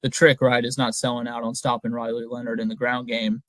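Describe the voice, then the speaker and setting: Young guy reporter on a video call, measured, analytical, dry sound, average pitch. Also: little pitch movement, a bit bright, no echo. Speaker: guest reporter. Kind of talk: casual analysis interview. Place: indoor room at home. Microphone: webcam on a video call.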